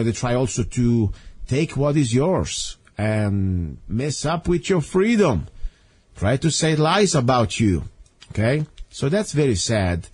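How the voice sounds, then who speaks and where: Italian-accented male talk radio host; husky, rambling, earnest, with many restarts. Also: medium pitch, darkish tone. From Italy. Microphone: studio microphone.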